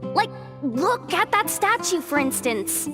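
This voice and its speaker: A woman's voice: sing-song, takes it slowly, full character voice. A woman voicing a brash, chatty young girl sidekick in a video game, girlish and casual, blurting and easily flustered. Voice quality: very high, girlish. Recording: music playing behind the voice.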